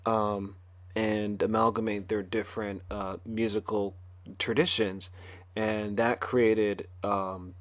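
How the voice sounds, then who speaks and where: A man speaking in an informal lecture style, halting and often pausing mid-phrase. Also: on the low side, level tone, dry recording. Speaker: conference speaker.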